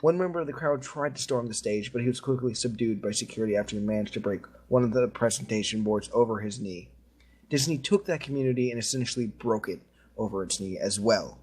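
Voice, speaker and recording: A man's voice: mid-range voice, quick pace, a little mellow, husky, rough recording. A man reading a creepy story aloud to friends in an informal way. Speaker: story reader.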